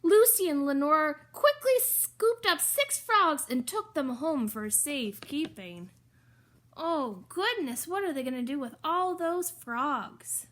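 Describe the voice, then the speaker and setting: Animated, high-voiced woman reading a storybook. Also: slow pace. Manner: expressive, emphatic, with chatty asides. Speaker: storybook reader. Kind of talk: animated children's read-aloud with asides to listeners.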